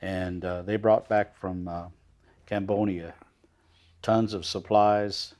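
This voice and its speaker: A man in his sixties hosting a TV studio interview, asking leading questions in a halting way with many pauses. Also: low-pitched, steady tone, darkish tone. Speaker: host.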